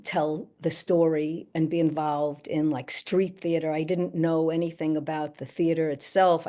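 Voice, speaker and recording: Slow, thoughtful older woman. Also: low voice, dark tone. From United States. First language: Yiddish. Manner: thoughtful, halting, with frequent restarts. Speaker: actress and guest. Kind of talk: reflective Q&A answer.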